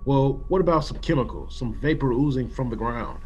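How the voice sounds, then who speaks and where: A man playing a stern, practical colonial executive in a classic radio play, speaking with measured authority. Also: mid-range voice, very animated, darkish tone, muffled recording, a little hiss or hum, close to an everyday voice. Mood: questioning.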